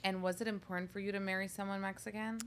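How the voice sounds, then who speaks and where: A young woman hosting a podcast, chatty and giggly with quick reactions to her guest. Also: mid-range voice, level tone, fairly bright tone, slight background noise. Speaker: podcast host. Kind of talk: casual interview chat.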